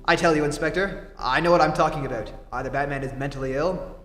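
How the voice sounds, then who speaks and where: An actor playing an earnest, determined reporter who is secretly a superhero, in 1940s radio style. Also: male voice, on the high side, quick pace, music in the background, lightly acted. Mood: urgent, insistent. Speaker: amateur theatre actor. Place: theatre stage before a live audience. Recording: echoey hall, live stage performance, noisy.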